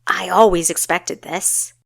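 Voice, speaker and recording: A woman's voice: on the low side, fairly even intonation, measured pace, creaky, a bit bright, no echo, heavily acted. A woman voicing a carefree, impulsive young sister in an audiobook, with an eager, exclamatory manner. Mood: proud, excited.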